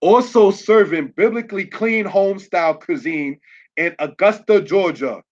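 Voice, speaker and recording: A man's voice: high voice, normal speed. A man giving a religious lesson over slides, spelling words out letter by letter and checking in with "all right?" as he goes. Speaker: religious teacher. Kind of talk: slide-based online lesson. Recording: dull lo-fi audio.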